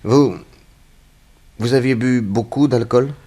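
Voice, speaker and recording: An actor playing a stern, shrewd investigator who questions insistently and sardonically in a 1960s French radio crime drama. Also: male voice, medium pitch, takes it slowly, dark tone, slightly rough. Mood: probing. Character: stern, shrewd male investigator grilling a young suspect.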